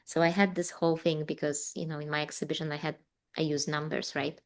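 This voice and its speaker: Low gravelly woman with a Polish accent. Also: level tone, a little fast. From Poland. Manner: rambling, warm, frequent fillers and laughs. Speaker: guest artist. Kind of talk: casual interview chat.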